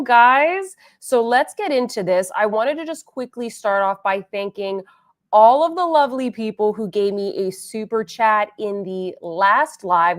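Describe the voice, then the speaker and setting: A woman hosting a beauty livestream, chatting casually with viewers and reading their comments aloud, a little congested and sniffly. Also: mid-range voice. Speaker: beauty channel host.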